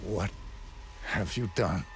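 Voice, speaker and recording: A man's voice: low voice, takes it slowly, over loud music. An actor playing a stoic, menacing hitman in a cinematic video game, speaking tersely and bluntly. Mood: grave, betrayed. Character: stoic, menacing male professional assassin, terse and controlled.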